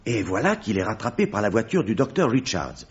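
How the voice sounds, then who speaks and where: Pasteur grave, voix basse et voilée. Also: male voice, very animated, fairly quick, breathy edge, dull audio, heavily acted. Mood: grave, dramatic. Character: grave village pastor recounting a horrific family tragedy. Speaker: actor in a radio mystery play. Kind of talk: French radio drama acting.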